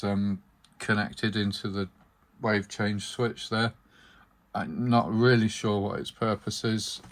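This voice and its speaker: A man giving a casual, unscripted hands-on demonstration of his radio collection, speaking in hesitant, halting phrases. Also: on the low side.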